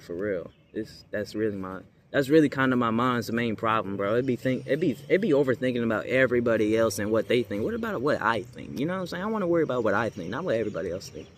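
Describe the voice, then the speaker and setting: Rambling young American man, noisy recording. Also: on the low side. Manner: slangy, rambling, emphatic repetition. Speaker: spiritual video creator. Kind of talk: casual unscripted monologue to viewers. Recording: clearly noisy background.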